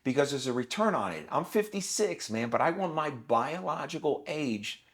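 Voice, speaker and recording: A man's voice: fairly high pitch, very animated, moderate pace, fairly bright tone. A man in his fifties, a guest on a podcast, talking in an emphatic, preachy and blunt way. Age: 50s. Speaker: guest, business owner. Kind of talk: podcast conversation.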